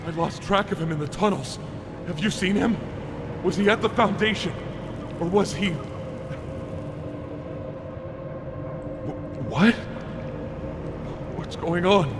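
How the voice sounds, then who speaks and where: Horror game creature: tormented, volatile, vengeful. Gravelly, highish male voice, fragmented delivery, long reverb over ambience. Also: noisy background. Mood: anxious, confused. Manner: halting, fragmented, swinging between muttering and shouting. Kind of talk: dramatic horror video game voice acting.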